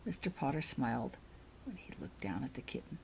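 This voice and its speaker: A woman reading her own fiction aloud as an expressive storyteller. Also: extremely low pitch, fairly quick, creaky, a little hiss or hum, rough recording. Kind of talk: audiobook-style narration of fan fiction.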